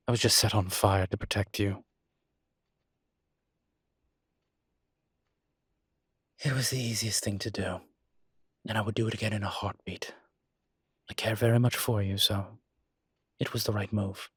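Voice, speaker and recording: Guy voicing a suave old-as-time demon professor, super husky and kinda deep, wry urbane teasing, roleplay audio. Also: fairly even intonation, moderate pace, dry recording, clean studio sound. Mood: tender. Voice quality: husky, breathy low voice. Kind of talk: intimate roleplay audio drama.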